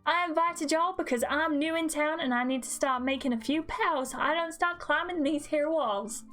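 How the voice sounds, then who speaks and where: A folksy Southern widow as a woman plays her holds court theatrically in a high voice with an American Southern drawl. It is a mystery game role, with faint music behind. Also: moderate pace, fairly bright tone. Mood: folksy, welcoming. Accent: put-on American Southern. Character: folksy Southern widow, hostess of a great house.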